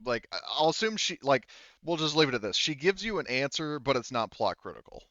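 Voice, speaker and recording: A man's voice: medium pitch, very animated, a little fast, bright, forceful tone, no room sound, lo-fi sound. A man acting as game master, narrating a tabletop game casually, explaining things and often interrupting himself as he goes. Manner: explanatory, hedging, self-interrupting.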